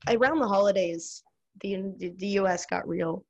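A woman's voice: mid-range voice, moderate pace, vocal fry, a little mellow. An American woman interviewing a friend in casual chat, talkative and full of fillers, laughing often.

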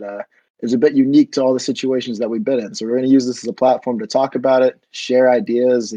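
A man's voice: medium pitch, mellow, breathy edge, no room sound, low-quality recording. A man in his twenties hosting a casual podcast, talking loosely with many fillers. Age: 20s. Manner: rambling, filler-heavy. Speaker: podcast host. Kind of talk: casual chat.

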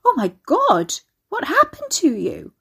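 A woman's voice: extremely high pitch, huge pitch swings, measured pace, creaky, fairly bright tone, voice pushed up, exaggerated voice. A woman voicing a blunt, agitated, defiant young woman in an audiobook story. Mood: shocked.

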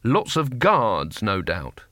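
A man's voice: lowish voice, wildly swooping pitch, takes it slowly, fairly bright tone, no room sound, clean studio sound, full character voice. A man voicing a nervous, doubtful wizard in an audiobook story, hesitant and wryly sarcastic as he questions things. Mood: incredulous, wry.

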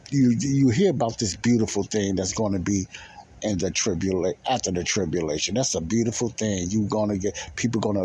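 A man's voice: lowish voice, a little mellow, a little hiss or hum. A man teaching the Bible in an informal sermon, speaking emphatically, with repetition and rhetorical questions.

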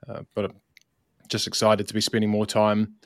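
A man with a New Zealand accent, relaxed and unhurried, introducing himself as marketing lead with lots of ums. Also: on the low side, no echo, studio quality. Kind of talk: casual self-introduction on a livestream.

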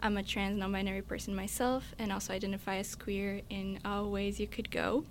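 A host from Brazil leading a casual interview chat, soft-spoken and gentle with her questions, laughing often. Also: mid-range voice, level tone, a little fast, slight background noise. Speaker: podcast host.

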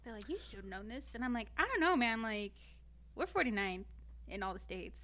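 A woman's voice: on the high side, very animated, a little fast, pushed and bright. A woman in her twenties from Nevada co-hosting a podcast, joking around in casual chat. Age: 20s. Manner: joking, laughs often. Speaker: podcast co-host.

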